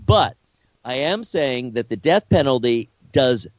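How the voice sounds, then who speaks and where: A man's voice: mid-range voice, animated, no echo. Man cohosting a podcast, stops and repeats himself a lot, earnest, muffled dry sound. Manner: halting, repeats words, earnest. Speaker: podcast co-host. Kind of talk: casual discussion.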